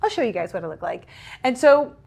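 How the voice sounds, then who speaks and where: A woman's voice: fairly high pitch, sing-song, brisk, gravelly, darkish tone, husky. Chatty young woman. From Portugal. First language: Portuguese. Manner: chatty, acts out her own past remarks in a raised voice. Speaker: content creator guest. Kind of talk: casual interview chat.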